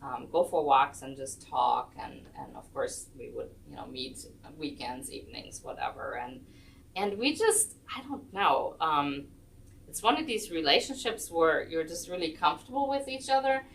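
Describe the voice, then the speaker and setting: A woman's voice: sits low, lively intonation, moderate pace, creaky, a bit bright, distant mic, a little hiss or hum, poor audio. A woman from Germany, a chair yoga instructor chatting as an interview guest, rambling with lots of ums and restarts. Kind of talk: casual storytelling interview. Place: senior center room.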